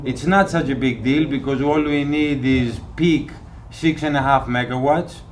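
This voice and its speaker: A man's voice: mid-range voice, steady tone, measured pace, a little hiss or hum. A man from Greece with a Greek accent, a native Greek speaker, giving a wry, joking documentary interview about his island's energy cooperative.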